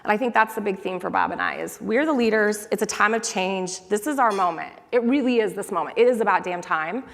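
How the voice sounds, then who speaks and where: A woman's voice: mid-range voice, a little fast. A woman moderating a conference panel, chatty and upbeat, addressing the audience from the stage. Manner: upbeat, chatty, quotes lines playfully. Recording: long reverb of a large hall.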